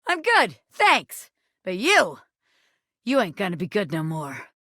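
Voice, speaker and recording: A woman's voice: mid-range voice, huge pitch swings, slow pace, fairly bright tone, no room sound, clean studio sound, full character voice. A woman voicing a brash, scrappy ex-gangster bobby pin turned flirty magician in a video game, with cocky, theatrical streetwise slang. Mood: menacing. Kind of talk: animated video game character acting.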